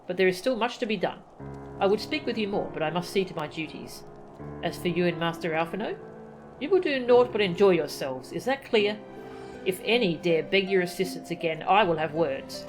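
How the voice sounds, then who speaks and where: A woman's voice: lowish voice, close to an everyday voice, low-quality recording. A woman voicing a formal, courteous young noble from a fantasy game, reading the lines aloud. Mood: playfully stern.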